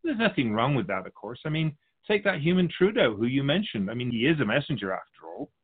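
A man's voice: medium pitch, big pitch swings, fast, slightly rough, phone-quality sound. Man acting a wry, sardonic otherworldly spirit with swooping delivery. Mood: wry, lecturing. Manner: sarcastic, chuckling, pedantic. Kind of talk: scripted radio conversation.

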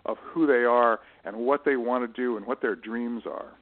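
A man giving thoughtful, explanatory interview answers in a halting way, often restarting and correcting himself as he goes. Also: medium pitch, narrow, tinny audio. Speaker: guest, writer managing his late father's literary estate. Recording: band-limited radio-style voice recording.

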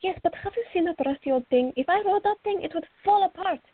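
Fiery teenage girl played by a woman, very high-pitched, playful and pleading, radio drama, dry dull sound. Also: expressive, fast talker, dry recording. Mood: wry, exaggerating. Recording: dull lo-fi recording.

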